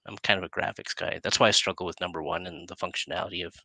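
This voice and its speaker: A man's voice: sits low, steady tone, rapid-fire, creaky, pushed and bright, no echo. A middle-aged man chatting casually as an interview host in a rambling, self-interrupting way. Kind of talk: casual chat. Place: indoor room, video call. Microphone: headset.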